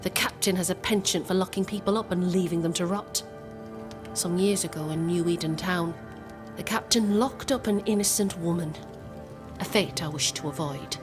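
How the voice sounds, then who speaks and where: Game role, actress: resolute female conspirator, lower register, formal and measured, strong music bed. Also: natural voice. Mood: serious, guarded. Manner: formal, measured, deliberate phrasing. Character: composed, resolute woman plotting against a captain. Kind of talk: video game cutscene acting. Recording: prominent music underneath.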